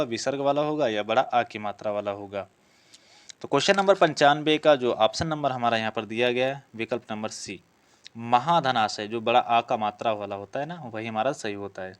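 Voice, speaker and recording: A man's voice: mid-range voice, smooth. A man giving an exam-prep lesson as a teacher, reading out practice questions and explaining the answers as he goes. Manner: explanatory, asks questions then answers them. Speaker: Hindi grammar teacher for police exam prep.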